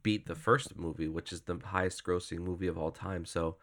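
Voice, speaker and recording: Stuffy-nosed man, lowish voice. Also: steady tone, brisk, fairly bright tone. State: stuffy nose from a cold. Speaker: podcast co-host. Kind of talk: casual film podcast chat.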